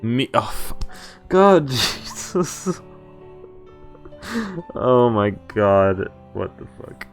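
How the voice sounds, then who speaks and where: A man's voice: mid-range voice, very animated, very slow, long pauses. A man reading visual novel text aloud as a streamer, breaking off for informal, joking commentary. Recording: game music behind the voice.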